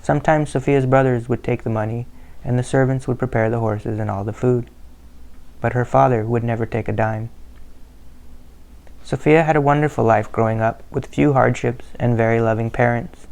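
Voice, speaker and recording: A man reads a family history aloud in a steady, measured way. His voice stays flat and even and has a gravelly edge. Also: medium pitch, low-quality recording. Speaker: narrator. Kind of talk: scripted narration of a family history.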